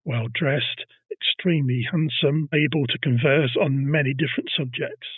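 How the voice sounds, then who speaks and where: A man's voice: mid-range voice, steady tone, moderate pace, husky, dry recording, phone-quality sound. A man hosting a casual history podcast, telling a story and teasing his co-host.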